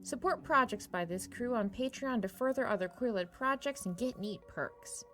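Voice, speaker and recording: A woman's voice: a little high, lively intonation, normal speed, a bit bright, faint music behind. A woman reading scripted end credits for a fiction podcast. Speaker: credits reader.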